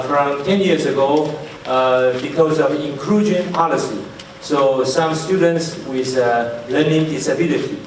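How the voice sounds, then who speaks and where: A man with a Taiwanese accent and Mandarin as first language, answering audience questions at a conference, searching for words as he goes. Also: mid-range voice. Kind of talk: unscripted question-and-answer talk. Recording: echoey hall, distant mic, faint noise.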